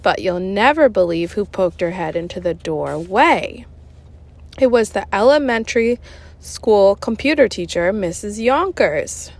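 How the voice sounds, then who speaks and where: Female children's story reader, mid-pitched, conversational, very animated delivery. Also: unhurried. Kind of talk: expressive children's audiobook narration.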